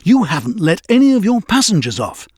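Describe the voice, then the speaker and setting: A man's voice: high-pitched, sing-song, brisk, husky, no echo, studio quality, voice pushed up, exaggerated voice. A man playing a patient but firm male engine driver, instructing and admonishing in a children's storybook. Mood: angry.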